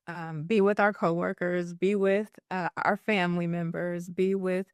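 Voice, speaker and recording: A woman's voice: on the low side, dry recording, clean studio sound. A woman co-hosting a conversation about mental health, thoughtful and searching for her words. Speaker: podcast co-host. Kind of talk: therapy-informed conversation.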